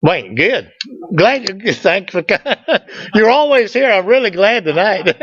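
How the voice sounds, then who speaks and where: A man's voice: sits high, sing-song, takes it slowly, fairly bright tone, slightly rough, no room sound. A man giving an informal slide presentation to a group, folksy and joking in manner. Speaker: club presenter. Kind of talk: informal slide presentation with audience back-and-forth. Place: meeting room, standing at a lectern indoors. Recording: dull, lo-fi room recording.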